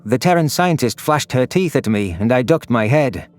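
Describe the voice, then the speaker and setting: Mid-pitched male story narrator. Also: animated, moderate pace. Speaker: audio drama narrator. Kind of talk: scripted first-person story narration. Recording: music under the voice.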